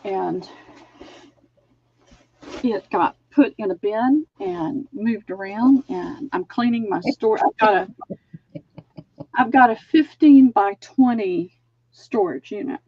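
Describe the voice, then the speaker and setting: A woman's voice: on the high side, expressive, slow pace, vocal fry, long pauses, husky, no room sound. A middle-aged Southern woman talks through her wares in an easy, folksy way, measuring and describing as she goes. The sound is dry and close, with a dull lo-fi stream quality. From Georgia, US. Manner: chatty, folksy, describes fabric while measuring. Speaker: live sale host. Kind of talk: casual live-stream selling.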